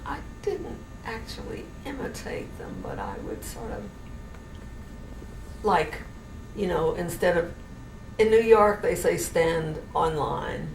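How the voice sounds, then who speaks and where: An elderly woman from New Orleans with a general American accent, slowly reminiscing in an interview with many restarts. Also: fairly low pitch, very animated, gravelly. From New Orleans, USA. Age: older. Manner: slow, meandering, with many restarts. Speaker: interviewee, great-grandmother. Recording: noisy, roomy home recording from a distance.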